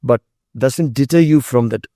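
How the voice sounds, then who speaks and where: A man's voice: medium pitch, moderate pace, warm tone, dry recording, clean studio sound. A middle-aged man from India, a Tamil speaker, talking about his company as a guest with earnest, emphatic delivery. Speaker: biotech company CEO, guest. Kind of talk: conversational interview.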